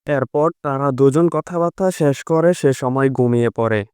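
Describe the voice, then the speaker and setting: A man narrating a cartoon story in a steady storytelling way. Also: mid-range voice, normal speed, smooth, dry recording, clean studio sound. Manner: steady storytelling, addresses listeners as friends.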